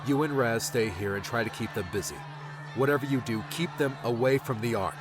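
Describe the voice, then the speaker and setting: A man's voice: mid-range voice, fairly quick, noisy background, pitched above the performer's own voice, lightly acted. A man voicing an earnest, resolute young hero in audiobook dialogue. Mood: urgent, commanding. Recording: background music under the voice.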